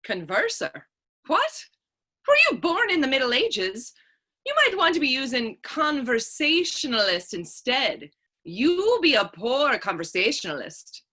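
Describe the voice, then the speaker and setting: An actress playing a sassy, quick-witted young Irish woman in a stage play reading, saucy and challenging in folksy phrases. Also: high voice, big pitch swings, sharp tone, full character voice. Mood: saucy needling. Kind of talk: stage readers theater dialogue.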